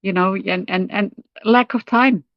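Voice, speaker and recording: An older woman from Portugal chats as a guest on a video call. She talks quickly, her words tumbling and restarting. Her mid-pitched voice comes through dry and slightly muffled. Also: no echo. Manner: speedy talker, stumbles and restarts.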